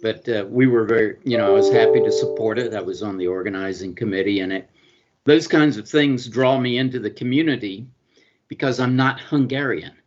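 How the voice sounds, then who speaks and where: A middle-aged man with a Southern Georgia accent gives interview answers in a low voice. He hesitates often, restarting phrases and filling gaps with ums and uhs. The recording sounds dull and lo-fi. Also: a little fast. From US state of Georgia. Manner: hesitant, many fillers and restarts. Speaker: consul and business attorney, guest.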